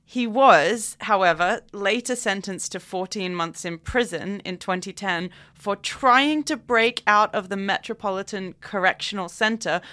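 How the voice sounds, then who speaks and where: A woman hosting a casual film podcast in a small radio studio, telling stories in a chatty, excitable way. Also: medium pitch, clear, resonant tone. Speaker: podcast host.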